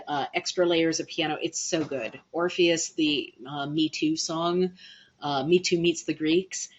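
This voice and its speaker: A woman hosting a casual livestream, chatting to viewers in an animated, playful way, on dull lo-fi audio. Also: fairly low pitch. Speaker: livestream host and band musician.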